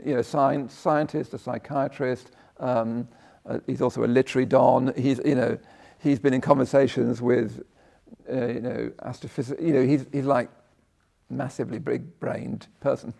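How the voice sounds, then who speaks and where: A man with a croaky voice giving a conversational Buddhist talk, often checking in with "yeah?" and breaking off his sentences. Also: mid-range voice, dark tone. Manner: conversational, tag questions, self-interrupting. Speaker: Buddhist teacher. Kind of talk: dharma talk. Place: meditation hall.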